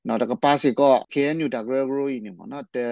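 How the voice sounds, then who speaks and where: A man's voice: medium pitch, very fast, gravelly, dry recording. A man speaking off the cuff in spontaneous commentary on a radio programme. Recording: slightly muffled shortwave radio programme audio.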